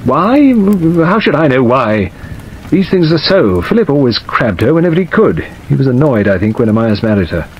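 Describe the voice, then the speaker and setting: A male reader plays a middle-aged gentleman of weak, fretful temper in an audiobook drama. He speaks haltingly, qualifying himself, with a pitch that lifts and falls widely. The sound is muffled, with rain pattering behind. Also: natural voice. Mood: fretful, reflective. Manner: hesitant, halting, self-qualifying. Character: weak, plaintive middle-aged English gentleman, hesitant, irritable, wistful. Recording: dull audio under steady rain noise.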